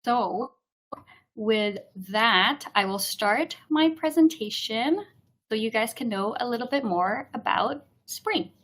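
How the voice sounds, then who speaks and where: A woman's voice: on the high side, lively intonation, slow, fairly bright tone. A woman in her thirties from Hong Kong, a program associate giving a friendly, explanatory presentation in a live webinar, with frequent "um" fillers.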